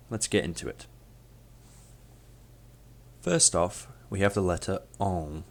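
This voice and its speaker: A young man whose first language is Polish, presenting a scripted language lesson in a measured, explanatory way and demonstrating sounds as he goes. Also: low-pitched, gravelly. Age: young adult. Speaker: student presenter.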